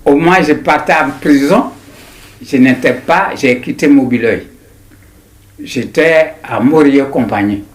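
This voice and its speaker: A man in his nineties with an Ivorian accent, recounting his memories in an interview in a deliberate, halting way with repetitions. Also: mid-range voice. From Ivory Coast. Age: 90s. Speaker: interviewee, writer. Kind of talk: personal recollection in an interview.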